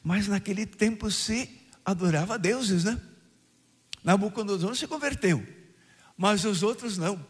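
Older priest preaching in an echoing church. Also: male voice, sits high, husky. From southern Brazil. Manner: preaching with rising emphatic phrases and questions to the congregation. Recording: radio broadcast of a mass in a reverberant church.

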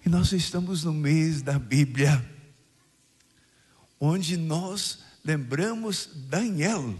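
An older man from southern Brazil preaches at mass. His voice sits a little high and is husky, and he often lifts it in emphatic questions to the congregation. The sound carries the long reverb of a church, as picked up for a radio broadcast. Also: drawn-out words, a little mellow. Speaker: priest.

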